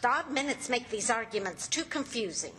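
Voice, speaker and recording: A woman's voice: fairly high pitch, very animated, fairly quick, pushed and bright, slight background noise. A woman playing a patient, teasing housewife with a wry streak in an old-time radio comedy. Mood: wry, peacemaking.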